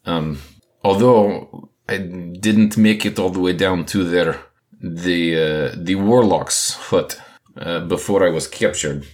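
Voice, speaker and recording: A man doing an earnest villager translator for a tabletop game, speaking halting, broken English and dropping his articles. The voice sits very low and moves slowly and deliberately. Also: a little mellow.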